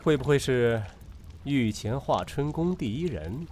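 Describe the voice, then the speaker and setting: A man's voice: medium pitch, lively intonation, measured pace, darkish tone, slight background noise, natural voice. A man playing a roguish, teasing night traveller in a Chinese period audio drama, witty and nonchalant. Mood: mocking. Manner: teasing, playful, theatrical. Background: music.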